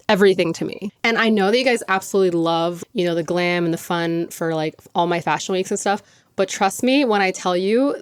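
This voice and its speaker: A woman's voice: lowish voice, clear, resonant tone, no echo, studio quality. A young woman hosting her own podcast, chatting casually and gushing, with lots of "like". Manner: chatty and gushing, heavy use of "like". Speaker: podcast host. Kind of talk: casual solo podcast chat.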